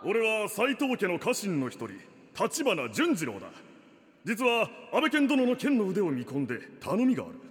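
A man's voice: high voice, wildly swooping pitch, normal speed, in a large hall. A man playing an earnest middle-aged samurai retainer who speaks solemnly in archaic period-drama style. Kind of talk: comedic period radio drama acting.

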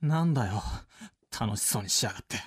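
A man's voice: on the low side, expressive, fast talker, husky. A man voicing a cool, aloof pheasant in a comedic radio drama, curt and huffy in a tsundere way. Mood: flustered, huffy. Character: cool, aloof pheasant, secretly eager to join.

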